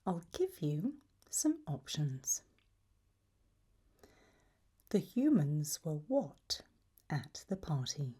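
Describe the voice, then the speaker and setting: A woman doing a whispered ASMR roleplay as an examiner, hushed and deliberate, pausing between short phrases. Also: sits low, big pitch swings, husky. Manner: slow, deliberate, softly instructive with long pauses between options.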